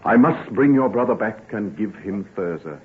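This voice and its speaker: On a thin, noisy vintage radio recording, a man plays an earnest gentleman doctor. His voice is fairly low, and his delivery is formal and theatrical, weighted with remorse and determination. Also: lively intonation, normal speed, phone-quality sound, natural voice. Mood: resolute, reassuring. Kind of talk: old-time radio drama acting.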